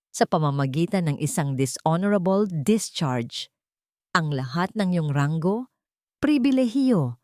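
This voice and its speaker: Woman as old Filipino general, male: stern, calm, weighty, formal storybook commander, very dry recording. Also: lowish voice, no echo.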